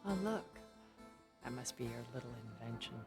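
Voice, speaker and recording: A woman's voice: a bass voice, animated, normal speed, gravelly, music in the background, full character voice. An actress playing a sly, suspicious woman in a video game who talks in a taunting, challenging way.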